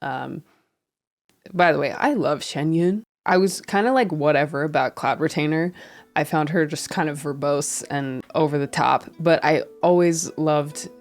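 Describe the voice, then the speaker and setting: A woman's voice: low voice, measured pace. A young woman streamer with a head cold, a little nasal, talking earnestly to camera in a rambling, reflective way with many restarts. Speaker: streamer and video creator. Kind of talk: casual reflective commentary to camera. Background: faint background music.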